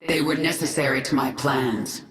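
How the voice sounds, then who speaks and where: A woman's voice: extremely low pitch, fairly even intonation, moderate pace, crowd noise. Echoing, commanding alien swarm queen. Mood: stern. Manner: terse, authoritative. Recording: echoing voice over game music.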